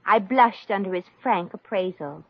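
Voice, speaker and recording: A woman's voice: mid-range voice, moderate pace, lightly acted. An actress playing a brassy, good-hearted, wisecracking young woman in 1950s radio comedy style, breezy and slangy. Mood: amused, astonished. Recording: dull old-time radio recording.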